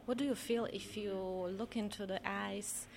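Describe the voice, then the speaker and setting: Female interviewer, non-native English, mid-pitched voice, faint noise behind. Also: steady tone, measured pace, vocal fry, darkish tone. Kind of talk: interview questions.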